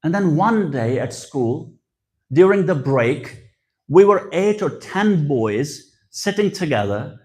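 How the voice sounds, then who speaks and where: A man delivers a religious lecture at an unhurried pace. His voice sits high and moves widely in pitch. He circles back on key phrases for emphasis as he tells personal stories. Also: sing-song, darkish tone. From Pakistan. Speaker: guest speaker at a religious gathering.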